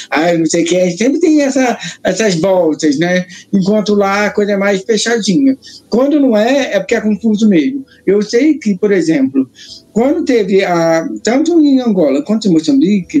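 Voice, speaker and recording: A Brazilian man with a Brazilian Portuguese accent, explaining his views on authors' nationality in a relaxed, thoughtful conversation. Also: high-pitched. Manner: thoughtful, explanatory, frequent tag questions. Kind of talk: casual conversation about literature.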